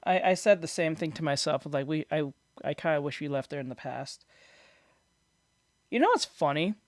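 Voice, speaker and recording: This man has a high, boyish voice that sits lighter than most men's. He talks in a loose, rambling way and often makes fun of himself. He is close on a desk mic with no room sound at all. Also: lively intonation, normal speed, no echo. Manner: rambling, self-deprecating, laughs often. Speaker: reaction streamer. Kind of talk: casual reaction commentary. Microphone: desk microphone.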